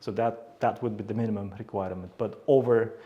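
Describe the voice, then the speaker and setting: A man's voice: lowish voice, steady tone, brisk, highs cut off. A man with an Uzbek accent explaining things as a teacher in an interview. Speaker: IELTS teacher, interview guest.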